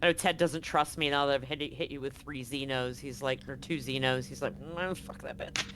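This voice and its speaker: A woman's voice: very deep voice, fairly even intonation, brisk, fairly bright tone. A woman narrating a tabletop game as game master in a casual, blunt, conversational way. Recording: noticeable background noise on live stream.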